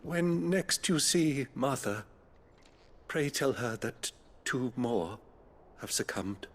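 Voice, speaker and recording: A kindly abbey priest, solemn and gentle, speaking in an unhurried, measured way. His voice is of middling pitch and carries a faint hum of ambience behind it, as in a game cutscene. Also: lively intonation. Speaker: priest tending the dying. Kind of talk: video game cutscene acting.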